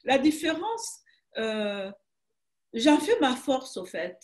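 An older woman with a Rwandan accent speaks in a slightly high voice, halting and emphatic, often restarting her phrases. She talks over a webcam call from a room at home. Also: very animated, a little mellow. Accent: Rwandan French. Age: 60s. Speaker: author guest. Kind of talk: conversational interview. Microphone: video call webcam.

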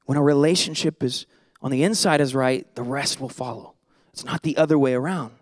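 A man in his thirties preaching a sermon on a church stage into a handheld mic, animated and joking, then dropping to soft earnest tones. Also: mid-range voice, a little fast, dry recording, clean studio sound. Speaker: pastor.

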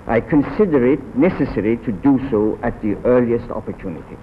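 Middle-aged male diplomat, formal and deliberate reading; thin, noisy 1940s archive sound. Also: medium pitch, animated, lo-fi sound. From Iran. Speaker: diplomat, ambassador. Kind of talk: formal prepared statement read aloud. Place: large council chamber. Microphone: table microphone.

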